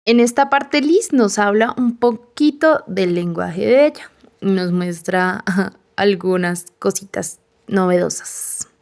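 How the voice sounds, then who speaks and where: A young woman hosting a student podcast, narrating in an earnest, measured way, with frequent "pues" fillers. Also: mid-range voice, creaky, a little mellow. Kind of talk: reflective voice-over narration.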